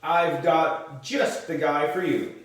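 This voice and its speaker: A man's voice: fairly high pitch, slow, fairly bright tone. A man voicing a friendly, obliging pet shop seller in a children's storybook. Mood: upbeat. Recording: distant mic in echoey room.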